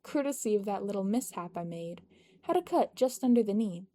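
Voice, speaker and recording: A woman's voice: medium pitch, lively intonation, brisk, dark tone, natural voice. A woman voicing a cocky, composed young male leader with an easygoing, wry manner in a narrated story.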